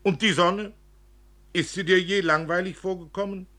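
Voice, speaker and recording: A man reading the part of a tender, solemn father who muses gently and philosophically about death in a literary story. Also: high-pitched, steady tone, normal speed, voice pushed up. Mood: gently questioning. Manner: earnest, murmuring, philosophical.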